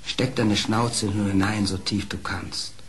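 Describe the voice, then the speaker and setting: A man's voice: on the low side, steady tone, measured pace, a bit bright. An actor playing a sly male fox in a children's radio play, a wheedling trickster feigning innocence.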